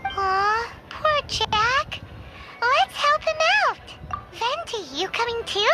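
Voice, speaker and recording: A woman voicing a tiny, childlike female companion sprite in a video game, cute and excitable, with a squeaky voice. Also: slow pace, heavily acted. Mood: sympathetic. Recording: music under the voice.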